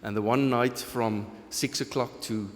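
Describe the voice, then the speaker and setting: A man's voice: medium pitch, fairly even intonation, measured pace. A man from South Africa with a South African accent, a pastor giving an earnest, conversational sermon and taking questions. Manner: earnest, conversational, laughs at his own jokes. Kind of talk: sermon with open questions from the congregation. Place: church sanctuary. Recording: long hall reverb in a church.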